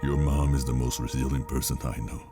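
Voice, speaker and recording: A man's voice: a bass voice, level tone, brisk. An actor playing a weary, protective father in a drama, firm and reassuring, with a grave delivery. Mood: earnest. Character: weary protective father fleeing with his child. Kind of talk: dramatic film-style acting. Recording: music behind the voice.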